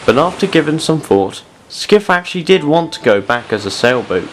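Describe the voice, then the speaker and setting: A man's voice: fairly high pitch, very animated, moderate pace, a little hiss or hum, rough recording. A man reading scripted story narration as the narrator of an audio drama.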